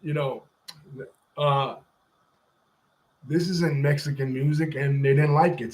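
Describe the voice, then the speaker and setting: A man's voice: a little high, rough recording. A man hosting a commentary channel who walks through history step by step in a casual, conversational way and now and then chats with people off camera.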